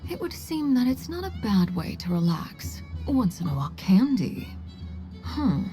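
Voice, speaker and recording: A woman voicing a cool, poised woman in a video game, measured and understated with a dry fondness. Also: medium pitch, sing-song, dark tone, faint music behind. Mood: composed, amused.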